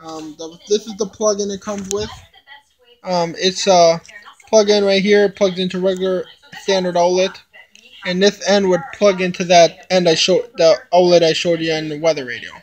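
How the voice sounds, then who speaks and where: A young man giving a casual unboxing demonstration, explaining things in a halting, repetitive way into a handheld camera. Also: high-pitched, fairly even intonation, creaky, rough recording. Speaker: hobbyist video maker. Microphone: handheld camera, no tripod.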